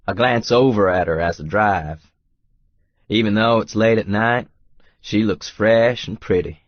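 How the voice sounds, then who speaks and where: A man narrates romance in first person with a folksy manner. His voice is fairly low, recorded very dry and slightly dull. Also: no echo. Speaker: audiobook narrator.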